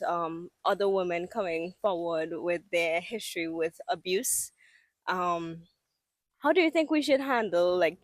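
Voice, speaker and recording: A woman's voice: medium pitch, no echo. Woman co-hosting a reflective podcast. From Trinidad and Tobago. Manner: warm, reflective, laughs easily. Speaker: podcast co-host. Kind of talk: casual chat.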